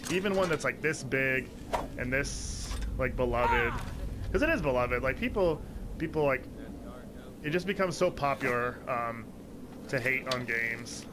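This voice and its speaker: A young man talking casually over a game he is playing, wandering from thought to thought and filling gaps with "like" and "um." His voice sits at an ordinary middle pitch and leaps up when something excites him. A steady hiss of noise runs underneath the whole recording. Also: a little slow. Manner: rambling, heavy use of "like" fillers. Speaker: let's play commentator. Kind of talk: casual rambling commentary over gameplay.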